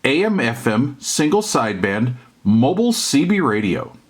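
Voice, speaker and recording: Middle aged american guy, medium pitch, casually showing off gear for his hobby channel, folksy and plain spoken. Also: sing-song, moderate pace, very noisy. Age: middle-aged or older. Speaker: hobby radio reviewer and YouTube host. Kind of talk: casual unscripted product walkthrough.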